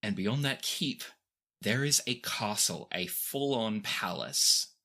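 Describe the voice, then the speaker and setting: A game master narrating a tabletop roleplaying game off the cuff, describing scenes expressively with pauses. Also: medium pitch, animated, sharp tone.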